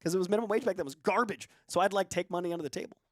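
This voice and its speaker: Fast-talking man in his thirties. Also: high voice, no echo, studio quality. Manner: fast, chatty, self-deprecating. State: recovering from illness. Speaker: co-host. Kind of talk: casual chat.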